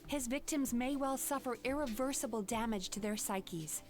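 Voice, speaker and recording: A woman playing a composed, earnest young dream therapist in a video game, speaking in a measured, formal, gently firm way. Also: a little high, lightly acted. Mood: grave. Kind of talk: English video game voice acting. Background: game music.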